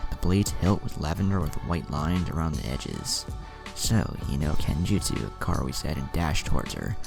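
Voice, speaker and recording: A man narrates fiction in an extremely low voice with a gravelly, creaky edge. His intonation moves around a lot as he reads the story, with music playing behind him. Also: lively intonation, normal speed. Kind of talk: storytelling narration, reading aloud with character dialogue. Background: background music.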